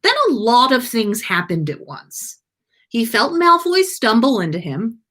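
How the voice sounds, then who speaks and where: A woman reading fan fiction aloud as a podcast host, in an expressive narrating style. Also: a little high, unhurried. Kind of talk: expressive story narration on a livestream.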